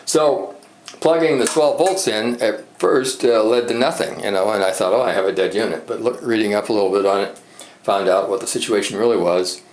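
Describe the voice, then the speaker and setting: A man giving a casual, unscripted hobbyist show-and-tell, halting and rambling as he thinks aloud. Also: on the low side. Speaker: hobbyist presenter demonstrating a vintage radio repair. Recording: echoey room with background noise.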